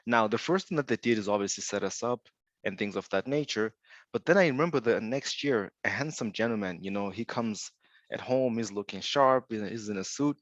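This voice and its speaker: A young man from Zimbabwe presents on a video call in a low, steady voice. He keeps things upbeat and tells stories with asides. The call audio is dry and lo-fi. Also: brisk, no echo. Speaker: insurance sales director presenting. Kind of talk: webinar presentation.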